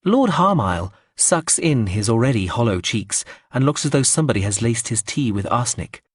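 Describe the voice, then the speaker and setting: A man narrating a first-person story aloud in a measured, wry way. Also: medium pitch, sing-song, breathy edge, dry recording, clean studio sound.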